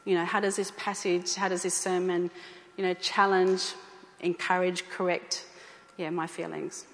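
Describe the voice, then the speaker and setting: A woman's voice: fairly low pitch, flat delivery, normal speed. A woman giving an informal talk to a church group, speaking conversationally with fillers and repeated phrases. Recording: echoey hall.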